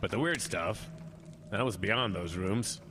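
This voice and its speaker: Man acting a grave, low-voiced suspicious man. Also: unhurried, lightly acted. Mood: grave, unsettled. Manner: probing, serious. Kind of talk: audio drama acting. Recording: radio drama with storm ambience noise.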